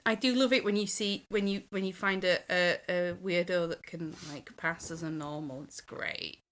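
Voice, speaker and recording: A woman's voice: fairly low pitch, steady tone, moderate pace, fairly bright tone. British woman in casual chat. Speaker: podcast panellist.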